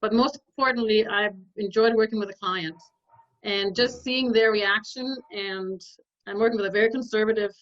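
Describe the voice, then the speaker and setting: A woman from Denmark, a business transformation consultant, answering interview questions over a video call in a relaxed, conversational way. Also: medium pitch, normal speed, dull audio. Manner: relaxed, conversational, frequent fillers.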